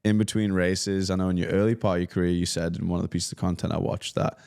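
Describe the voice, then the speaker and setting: A man hosting a podcast, asking curious, relaxed questions in casual conversation. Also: deep voice, fast, gravelly, clear, resonant tone. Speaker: podcast host. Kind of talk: casual interview chat.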